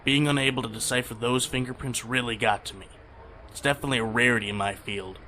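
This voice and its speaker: A weary but easygoing male forensic examiner, voiced by an actor for a radio play. His voice is bright and forward, over faint background noise. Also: medium pitch, fairly even intonation, quick pace, lightly acted. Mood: resigned, friendly. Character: tired, laid-back male forensic lab examiner. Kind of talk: conversational audio drama acting.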